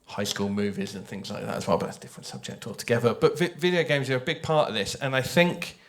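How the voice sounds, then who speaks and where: A man talking informally to an audience as a writer on a panel, animated and conversational, often checking in with questions. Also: mid-range voice, fast talker. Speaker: audio drama writer on a panel.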